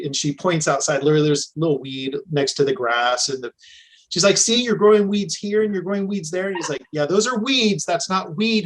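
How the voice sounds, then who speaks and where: A man's voice: mid-range voice, rough recording. A middle-aged man from the United States, a podcast guest telling stories in casual, chatty conversation. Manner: chatty storytelling, with restarts.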